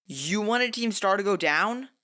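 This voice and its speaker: A woman's voice: mid-range voice, animated, a little slow, sharp tone. A woman voicing a hurt, demanding teenage boy gang member, indignant and challenging, in a dramatised fanfiction reading.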